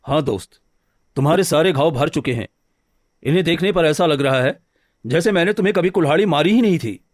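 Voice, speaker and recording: A man playing a gentle, anxious village woodcutter in a cartoon story, speaking earnestly and hesitantly. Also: a little high, expressive, brisk, dry recording, clean studio sound. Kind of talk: scripted Hindi cartoon story dialogue. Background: background music.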